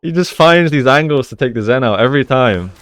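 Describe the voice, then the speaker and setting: A man's voice: mid-range voice, expressive, a little fast, a bit bright. A man giving casual, conversational commentary as he analyses gameplay like a coach, explaining each move.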